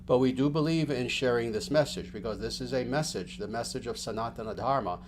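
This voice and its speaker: A man, a spiritual teacher, giving unhurried livestream announcements to his followers in a gentle, rambling way full of fillers and restarts. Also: medium pitch, slight background noise.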